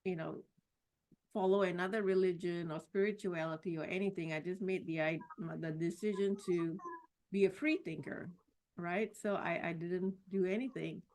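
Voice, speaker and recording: A middle-aged woman from Singapore, a podcast guest telling her life story in a casual, reflective way with many pauses and restarts. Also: fairly low pitch. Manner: warm, reflective, with frequent "you know" and restarts. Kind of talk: personal story in a casual interview.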